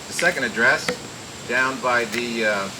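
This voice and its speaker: A man playing a world-weary, wisecracking private detective in radio noir style, reading a little haltingly. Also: mid-range voice, background noise, lightly acted. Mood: brooding narration. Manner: halting read with stumbles and wry asides. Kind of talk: 1940s-style radio noir acting and narration.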